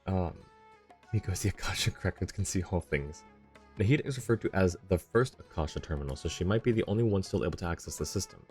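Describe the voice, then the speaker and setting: A man's voice: deep voice, lively intonation, fast talker, a little mellow. A man streaming a video game with casual, rambling commentary, thinking aloud. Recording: faint game music behind.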